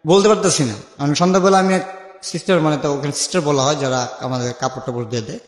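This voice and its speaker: A man's voice: on the high side, fairly even intonation, quick pace. A man with a Bangladeshi accent telling a personal life story conversationally, acting out remembered dialogue as he goes. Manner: acts out remembered dialogue while narrating. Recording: echoey with long reverb.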